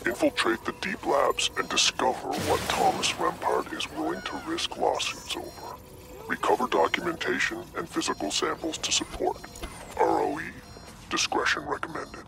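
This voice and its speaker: An actor playing a clinical male handler, reading a formal, clipped mission briefing in a video game with a creaky voice. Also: on the high side, huge pitch swings, normal speed, music in the background, full character voice.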